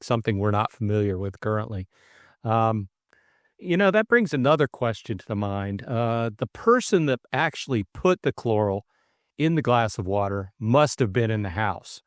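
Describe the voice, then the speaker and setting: A man's voice: lowish voice, expressive, normal speed, dry recording, studio quality. A middle-aged man co-hosting a casual book discussion, thinking aloud in a hesitant way. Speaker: audiobook co-host and narrator.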